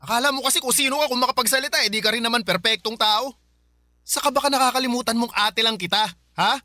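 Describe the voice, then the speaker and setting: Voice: medium pitch, bright, forceful tone, dry recording, lightly acted. An actor playing a defiant young brother. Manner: defiant, sulky, retorts quickly. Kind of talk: radio drama acting.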